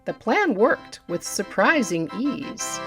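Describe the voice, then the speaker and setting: A woman narrating a story as an audiobook reader, in a theatrical, deliberate and expressive manner. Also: medium pitch, music in the background. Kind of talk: dramatic scripted story narration.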